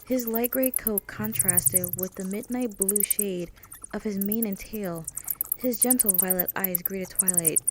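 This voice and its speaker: A woman's voice: mid-range voice, a little slow, dark tone, slight background noise. A woman reading out her own fan fiction as a scripted storybook narration.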